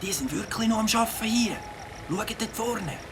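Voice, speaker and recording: A woman's voice: a little high, animated, moderate pace, noisy background. A woman playing a young, questioning member of a group of adventurers in a children's audio drama. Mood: puzzled.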